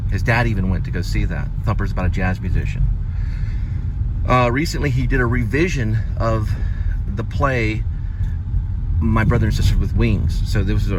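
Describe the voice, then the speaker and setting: A middle-aged man with a fairly low voice talks casually, as if to his own vlog viewers. He rambles through memories, stopping and restarting as he hunts for the right word. Faint ambient noise sits behind him. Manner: halting, reminiscing, searching for words. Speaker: video show host, actor and playwright.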